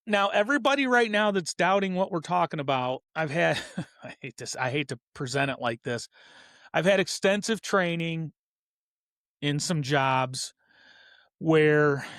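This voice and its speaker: A man's voice: fairly high pitch, fairly bright tone, no echo, studio quality. A man in his fifties hosting a casual, opinionated hobby show, talking earnestly in a rambling way and correcting himself as he goes. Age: 50s. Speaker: host of a hobby racing show. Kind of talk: casual opinionated talk about hobby racing.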